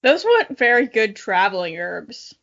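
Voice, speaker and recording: A woman's voice: mid-range voice, big pitch swings, normal speed, gravelly, fairly bright tone. A young adult woman reading aloud and commenting casually in a playful, self-mocking way as a podcast co-host. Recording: dull, lo-fi audio.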